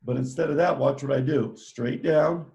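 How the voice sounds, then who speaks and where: A man's voice: medium pitch, normal speed, creaky, a little mellow, lo-fi sound. A man teaching a live online drawing lesson, giving playful step-by-step instructions in an upbeat way. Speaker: art teacher.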